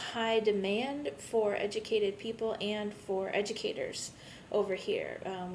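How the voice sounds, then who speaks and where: A woman's voice: a little mellow, poor audio. A woman, a bit tired, giving a conversational, rambling video update to her online students as their course instructor. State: a bit tired, jet-lagged. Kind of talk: informal recorded video message to students. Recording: rumbly background noise.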